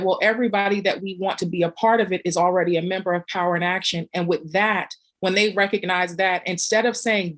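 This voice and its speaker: A woman speaking on a panel as a community organizer, earnest and reflective, pausing with frequent fillers. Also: mid-range voice, a bit bright. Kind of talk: panel discussion.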